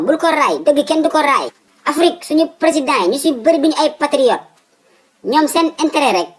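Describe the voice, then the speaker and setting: A man's voice: very high-pitched, a bit bright, low-quality recording. A man voicing a fussy, stubborn old father in a comic cartoon, with a Senegalese accent, exclaiming and repeating his words emphatically. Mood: indignant.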